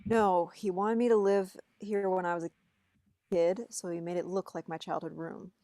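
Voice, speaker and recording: A woman's voice: on the low side, little pitch movement, moderate pace, dark tone, no echo, clean studio sound, lightly acted. A woman playing a skeptical, exasperated young man in a script table read, with a blunt delivery.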